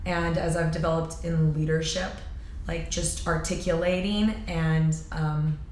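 A hoarse woman in her thirties talks in an upbeat, energetic way as a fitness coach in an interview, her loud, projecting voice sitting a little low for a woman. She sounds like she is in a bright studio room with a noticeable echo. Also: a little slow, slight background noise. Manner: energetic, upbeat, many fillers. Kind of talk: casual interview chat.

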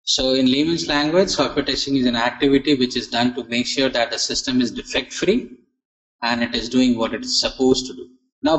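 A man teaching an online software testing class, asking and answering his own questions, with many fillers and restarts. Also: medium pitch, muffled recording.

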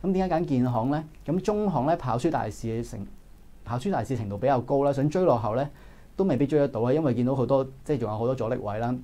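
A man in his thirties with a Hong Kong Cantonese accent, giving brisk analytical stock market commentary in a studio. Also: mid-range voice, animated, mellow. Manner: brisk, analytical, frequent filler particles. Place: indoor studio.

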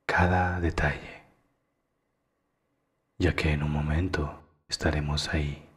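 Very deep, slow-speaking man guiding meditation. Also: little pitch movement. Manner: hushed, deliberate, with long pauses. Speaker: guided meditation narrator. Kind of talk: slow soothing guided meditation.

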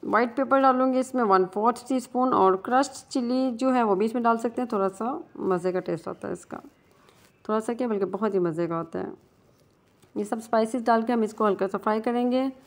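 A woman's voice: fairly high pitch, fairly quick. A woman giving a casual step-by-step recipe voice-over as a cooking channel host, mixing English words into her talk. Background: guitar music.

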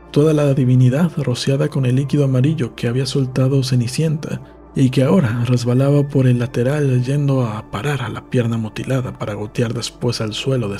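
A man's voice: mid-range voice, warm tone. A man reading literary narration from a script, as in an audiobook. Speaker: audiobook reader.

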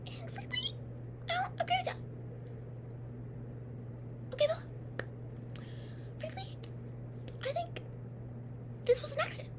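A woman's voice: extremely high pitch, lively intonation, unhurried, long pauses. A young woman playing a haughty, jealous teen vampire girl in a comedic roleplay, blunt and sarcastic with whispered asides, recorded on a webcam in a dim bedroom. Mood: scolding. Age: young adult. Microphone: webcam at close range. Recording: noisy home webcam audio.

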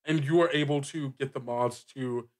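A man improvising narration as a game master, casual and halting, with lots of filler words. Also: mid-range voice. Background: music bed.

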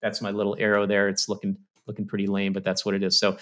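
A man in his thirties with a bright, forceful voice walks through technical slides. He talks conversationally, peppering his explanations with "you know" and "okay". His voice comes over a studio microphone on a video call. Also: lowish voice, monotone, fast. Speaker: HVAC presenter. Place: small indoor room. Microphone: studio mic on stand with headphones, video call.

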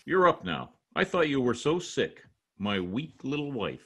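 A man's voice: mid-range voice, very animated, measured pace, bright, forceful tone, slightly rough, heavily acted. An older man playing a pompous, grumbling husband and hammy actor in an amateur old-time radio comedy. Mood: scornful. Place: home office, video call. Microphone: video call.

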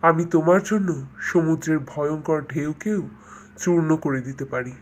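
A woman puts on a brave young prince from a cartoon fairytale. The voice is dropped lower, ardently romantic, with earnest and poetic phrasing. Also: measured pace, rough recording. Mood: bold devotion.

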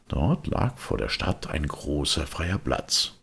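A man reading a children's story as an expressive audiobook narrator. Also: rumbling low, slow pace, vocal fry, husky.

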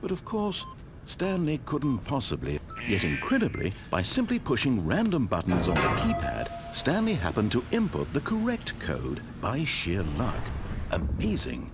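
A man's voice: mid-range voice, huge pitch swings, a little slow, loud music behind the voice. A man with a British accent playing an urbane, wry storyteller in a game, precise and theatrical in his scripted narration.

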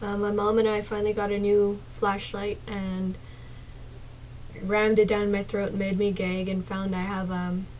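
A young woman streaming a game from her small dark bedroom, chatting casually to viewers with a sore, partly lost voice and drifting into mumbles. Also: medium pitch, little pitch movement, measured pace, a little hiss or hum, poor audio. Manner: soft-spoken, drifts into whispers and mumbles. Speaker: gaming streamer.

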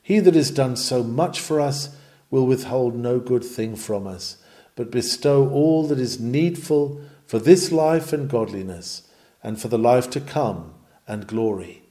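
Guy reading out an old sermon, soft mellow voice, earnest preachy delivery, mid pitch. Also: lively intonation, unhurried. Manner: earnest, rhetorical, emphatic questioning. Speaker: reader of historic sermons. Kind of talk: sermon read aloud, oratorical.

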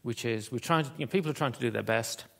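A man giving a conference keynote in an auditorium, talking in a halting way with many fillers and restarts. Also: medium pitch, gravelly. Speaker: keynote speaker.

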